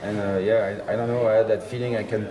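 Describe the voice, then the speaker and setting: A young man from France with a French accent, a pro skier chatting in halting, relaxed English. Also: fairly low pitch, crowd noise, low-quality recording. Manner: halting, relaxed, short answers. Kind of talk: casual chat. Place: lodge room with trophies, indoors.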